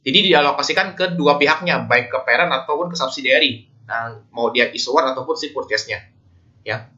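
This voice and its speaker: Indonesian guy explaining a lesson, chatty, really bright sharp voice, kinda muffled lo-fi audio. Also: mid-range voice, brisk. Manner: conversational, often checking in with listeners. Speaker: accounting lecturer. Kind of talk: informal explanatory lecture with slides.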